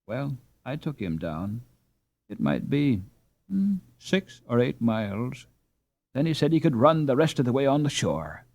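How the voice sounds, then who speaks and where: Man reading as a halting, dazed injured husband, animated pitch. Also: muffled recording. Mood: troubled recollection. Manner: halting, groping for words. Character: injured, dazed Dutch husband straining to remember. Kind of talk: audiobook character dialogue.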